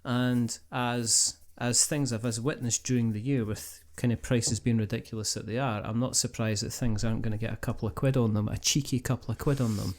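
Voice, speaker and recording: A man hosting a podcast in casual chat, with a jokey, rambling and animated way of talking. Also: medium pitch, a little fast, hardly any pauses. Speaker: podcast host.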